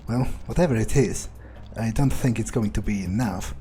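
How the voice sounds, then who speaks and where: A man's voice: on the low side, moderate pace, mellow, a little hiss or hum, natural voice. A man playing an arrogant, power-drunk vampire in an improvised in-character game monologue, sneering, profane and domineering. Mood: confident, musing.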